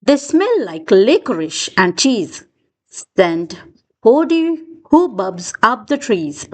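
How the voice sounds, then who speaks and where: A woman's voice: a little high, very animated, takes it slowly. A woman narrating a children's rhyming storybook expressively, with dramatic emphasis for young listeners. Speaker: storybook reader.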